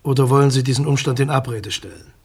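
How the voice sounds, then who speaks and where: An actor playing a condescending, legalistic state prosecutor in a radio crime drama, formal and measured, with a husky voice. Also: male voice, mid-range voice, close to an everyday voice. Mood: sceptical, firm.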